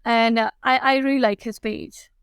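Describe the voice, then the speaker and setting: A woman in her thirties with a Pakistani accent, chatting casually as an interview guest in a halting way, repeating phrases for emphasis. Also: on the high side, fairly even intonation, gravelly, clear, resonant tone, dry recording, clean studio sound. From Karachi, Pakistan. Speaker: guest, food and lifestyle blogger.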